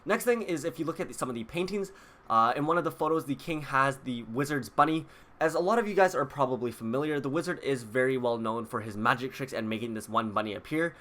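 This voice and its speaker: A man's voice: medium pitch, fast, pushed and bright. A man hosting a video, explaining details to viewers in a casual, chatty way. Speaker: video host. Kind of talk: casual scripted video commentary.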